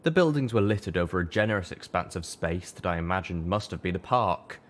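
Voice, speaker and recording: A man's voice: low voice, brisk. A man reading a scripted first-person fantasy story aloud as narrator. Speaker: audiobook reader.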